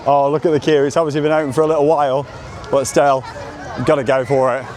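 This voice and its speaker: Higher-voiced enthusiastic man vlogging outdoors. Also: little pitch movement, fast, darkish tone, some chatter behind, a little hiss or hum. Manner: chatty, enthusiastic, rambling commentary. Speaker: theme park vlogger and host. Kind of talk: casual vlog narration to camera. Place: outdoors at a theme park, walking around.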